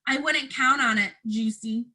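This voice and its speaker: A woman's voice: on the high side, a little slow, pushed and bright. A woman voicing a resentful, hot-tempered schoolboy older brother in a storybook, accusing and blunt. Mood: scornful.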